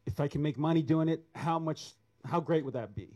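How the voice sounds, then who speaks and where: A man's voice: a little high, brisk, mellow, highs cut off. A man answering an audience question on an artists' panel, rambling and restarting his sentences. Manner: rambling, self-correcting.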